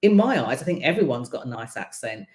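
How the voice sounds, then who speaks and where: Deep-voiced woman coaching in an echoey room. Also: sing-song, a little fast, a little mellow. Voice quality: deep voice without a marked accent. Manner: conversational, many ums and you-knows. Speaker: visibility coach hosting a broadcast. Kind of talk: casual spoken coaching talk.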